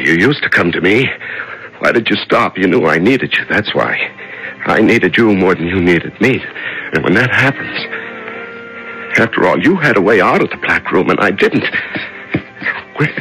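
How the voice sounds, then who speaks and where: An actor playing a weak, exhausted prisoner in solitary confinement, murmuring to a mouse in a 1970s radio drama. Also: male voice, sits low, vocal fry, sharp tone, exaggerated voice, poor audio. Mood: pleading, then desperate. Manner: murmuring to a mouse, fading and breaking. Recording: old radio drama with music.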